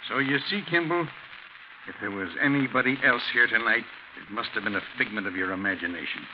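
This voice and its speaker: An actor playing a gruff, matter-of-fact American police sergeant in a brisk 1940s radio style. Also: male voice, lowish voice, lightly acted. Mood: grave, matter-of-fact. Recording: muffled, hissy 1940s radio recording.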